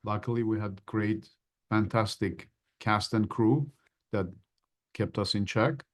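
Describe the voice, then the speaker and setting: Measured, low-voiced male actor. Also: steady tone. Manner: measured, thoughtful, slightly formal. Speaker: actor, interview guest. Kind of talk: casual interview talk.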